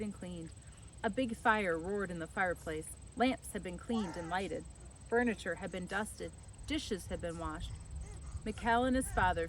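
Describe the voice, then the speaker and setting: A woman reading an audiobook in expressive storybook narration. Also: medium pitch, slight background noise, low-quality recording. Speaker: audiobook reader.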